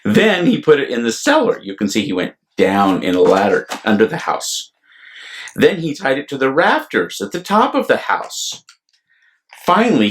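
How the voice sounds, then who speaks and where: Male storyteller for a children's read-aloud: slow, deliberate delivery, lively swings in pitch. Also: a little hiss or hum. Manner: deliberate, animated, explanatory asides to young listeners. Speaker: storyteller, priest reading aloud. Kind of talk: expressive children's picture-book read-aloud.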